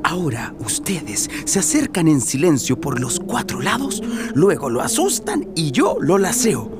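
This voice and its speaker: An actor playing an excitable, commanding father who hunts and teaches his children in a radio play. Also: on the high side, unhurried. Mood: hushed, commanding. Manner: hushed instructions bursting into cries. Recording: noisy outdoor ambience.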